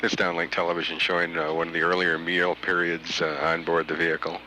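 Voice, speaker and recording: A man giving measured, halting live spaceflight commentary as a mission control announcer, pausing often, on a noisy archival recording. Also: low-pitched, monotone. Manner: halting, frequent long hesitations.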